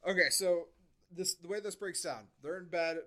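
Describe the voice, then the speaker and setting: A man's voice: high-pitched, moderate pace, pushed and bright. A man hosting a podcast, chatting casually about movies with sarcastic, exasperated asides. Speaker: podcast host. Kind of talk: casual movie review banter.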